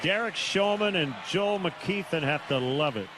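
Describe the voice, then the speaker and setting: A man with a fairly high voice does live baseball play-by-play from a stadium booth. He delivers it in short, measured phrases, leaving gaps between plays, with clear background noise under the broadcast. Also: expressive. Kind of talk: live radio play-by-play commentary. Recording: noisy stadium radio broadcast.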